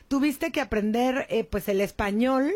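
Casting: high-pitched woman hosting a talk show, gushing and chatty. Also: unhurried, a little mellow. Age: adult with a young daughter. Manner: effusive, chatty, repeats "señores, señores". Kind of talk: lively talk show interview.

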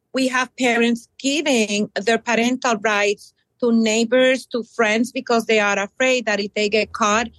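Earnest middle-aged teacher from Puerto Rico. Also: female voice, fairly high pitch, no echo, poor audio. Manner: earnest, many ums and pauses. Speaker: middle school bilingual teacher. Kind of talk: interview answers.

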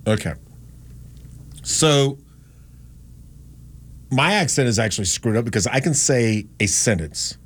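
A man's voice: medium pitch, expressive, measured pace, pauses often, husky. A man hosting a talk radio show in a studio, chatting in a rambling, self-deprecating way about listener texts. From Gastonia, North Carolina. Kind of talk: casual talk radio chat. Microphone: studio microphone.

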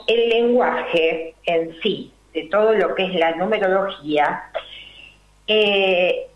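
An older woman with a Rioplatense Spanish accent, a numerology guest explaining her ideas slowly and deliberately, full of fillers and rhetorical questions. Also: medium pitch. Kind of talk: explanatory interview talk. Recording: roomy, dull lo-fi recording.

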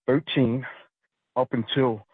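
A man from New York City calling into a radio show, speaking in a measured, matter-of-fact way. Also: medium pitch, fairly even intonation, husky, no echo. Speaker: caller, retired federal officer. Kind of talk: phone-in commentary. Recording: tinny phone line.

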